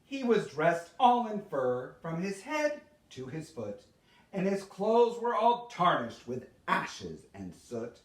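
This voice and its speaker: A man giving a theatrical poetry recitation as a storyteller, with dramatic, expressive delivery and deliberate pauses. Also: high voice. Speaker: storyteller reading a Christmas poem. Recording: roomy echo, distant microphone.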